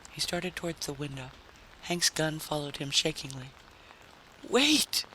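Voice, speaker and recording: Bright, higher-voiced man narrating a story. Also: fairly even intonation, moderate pace, slight background noise, low-quality recording. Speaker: audiobook narrator. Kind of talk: dramatic scripted story narration.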